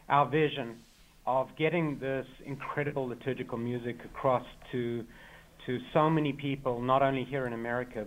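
A man's voice: medium pitch, rough recording. Enthusiastic South African man. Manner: enthusiastic, effusive. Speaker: choir member, guest. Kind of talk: radio interview.

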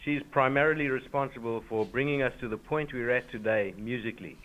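A friendly man who is a guest on a radio interview, introducing the other members of his group one by one. His voice sits at a middle pitch. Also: brisk, fairly bright tone, lo-fi sound.

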